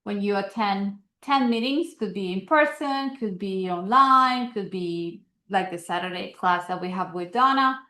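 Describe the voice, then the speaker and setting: A woman with a Colombian accent runs through announcements for her members, chatty and upbeat and full of little ums and uhs. Her voice sits at an ordinary middle pitch for a woman. The sound has a roomy echo around it. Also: a little slow, few pauses, sharp tone. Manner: casual, filler-heavy, upbeat promoting. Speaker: investor association host. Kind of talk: announcements at an online meeting.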